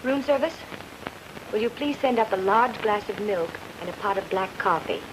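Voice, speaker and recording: An actress playing a detective's jealous, sharp-tongued sweetheart, with tart delivery in a 1940s film noir style. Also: female voice, mid-range voice, expressive, moderate pace, background noise, natural voice. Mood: businesslike. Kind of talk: 1940s film noir comedy-mystery acting.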